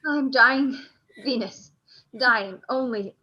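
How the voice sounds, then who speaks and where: Woman playing a high-voiced dying noble warrior. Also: slow pace, lo-fi sound. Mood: dying, tender. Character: Antony, dying noble male warrior lord. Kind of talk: Shakespearean radio play acting.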